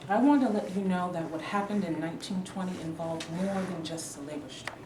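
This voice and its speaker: A woman's voice: lowish voice, a little fast, a little mellow, natural voice. A woman reading the part of a proud, dignified elderly mother in a staged play reading. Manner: dignified, bursts into angry outrage. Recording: echoey room, distant mic, background noise.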